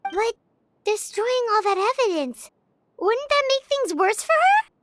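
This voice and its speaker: Squeaky tiny companion creature. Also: female voice, sing-song, fairly bright tone, dry recording, exaggerated voice. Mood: curious. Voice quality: high, squeaky. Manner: blurts quick curious questions. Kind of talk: video game voice acting.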